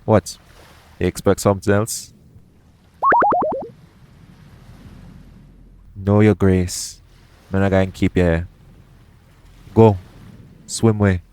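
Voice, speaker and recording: Man playing a low-voiced, roguish pirate captain. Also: level tone, a little slow, pauses often, noisy background. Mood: gentle, reassuring. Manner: soothing, gently teasing. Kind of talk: intimate audio roleplay acting.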